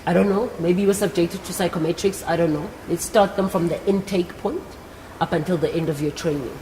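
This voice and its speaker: A woman's voice: fairly low pitch, fairly even intonation, brisk, warm tone. A South African woman hosting an interview, encouraging and speaking directly to her audience. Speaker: interview host. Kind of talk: live career talk show interview before young guests. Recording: echoing hall with faint background noise.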